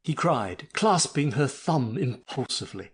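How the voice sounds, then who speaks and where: A man's voice: medium pitch, very animated, a little slow, breathy edge. A man narrating a story expressively, with a theatrical, mock-melodramatic delivery.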